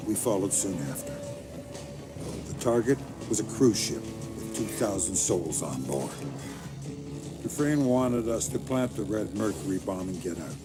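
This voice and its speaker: A man playing a hardened middle-aged undercover spy in a video game, terse, grim and deadpan. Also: on the low side, unhurried. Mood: grim, matter-of-fact. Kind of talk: dramatic video game acting. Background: loud music.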